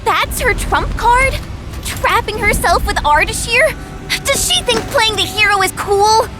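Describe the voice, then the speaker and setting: A woman's voice: extremely high pitch, expressive, measured pace. A woman playing a fiery, impatient young heroine in a fantasy video game. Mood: agitated, worried. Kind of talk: animated game voice acting. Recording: loud music behind the voice.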